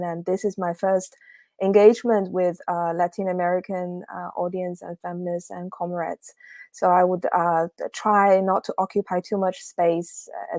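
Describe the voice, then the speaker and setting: A young woman from China gives a livestreamed academic talk over a video call. Her voice sits a little low and sounds dry and close. She speaks hesitantly, with many fillers and restarts. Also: a little mellow, no room sound. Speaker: guest speaker, PhD researcher.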